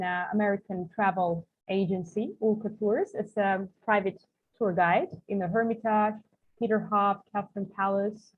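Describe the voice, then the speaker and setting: A woman from Armenia, raised in Russia, hosting an online coaching lesson and explaining fluently over a video call. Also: medium pitch. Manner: fluent, quick, chatty explaining. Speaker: coach and course host. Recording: tinny phone-like call audio.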